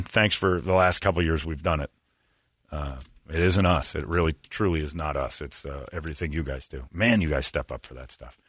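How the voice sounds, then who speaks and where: A man's voice: extremely low pitch, fairly bright tone, no echo, studio quality. A man hosting a morning radio show, talking in a loose, rambling way and laughing often. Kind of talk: casual morning radio talk. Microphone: studio microphone.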